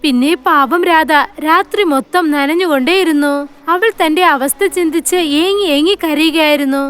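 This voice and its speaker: A woman's voice: sits high, moderate pace, fairly bright tone. A woman narrating a scripted moral story for children.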